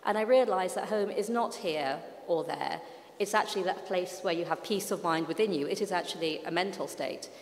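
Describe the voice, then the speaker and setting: A woman's voice: lowish voice, fairly quick. A woman in her forties, a novelist on a festival panel, answering thoughtfully with fillers and restarts. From Pakistan, raised in London. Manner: reflective, many fillers and restarts. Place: large hall on stage. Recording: echoey hall.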